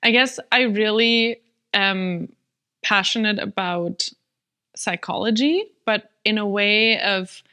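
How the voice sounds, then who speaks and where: A woman's voice: medium pitch, takes it slowly, pushed and bright, clean tone. A woman from Germany, a native German speaker, co-hosting a podcast in earnest, thoughtful chat. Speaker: podcast co-host. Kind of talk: casual chat.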